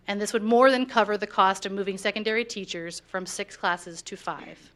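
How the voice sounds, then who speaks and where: A woman's voice: mid-range voice, fairly bright tone. Woman reading a firm prepared statement. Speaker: teachers' union negotiator. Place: board of education meeting room.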